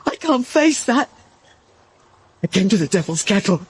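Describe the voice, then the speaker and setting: A man's voice: high-pitched, big pitch swings, normal speed, slightly rough, exaggerated voice. A British actor playing a guilt-ridden, despairing young man who is resigned to death, in an audio drama. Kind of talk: full-cast audio drama acting.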